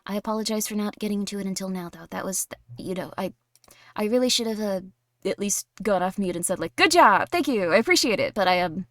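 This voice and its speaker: A tired woman streaming a game, chatting casually in a slightly raspy voice, rambling with many fillers. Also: medium pitch, animated, fairly quick, no echo, studio quality. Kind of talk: casual chat while playing a game.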